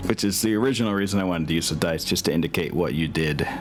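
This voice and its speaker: A man's voice: low-pitched, fast. A man acting as game master in a casual tabletop session, thinking aloud as he counts out moves. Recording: music behind the voice.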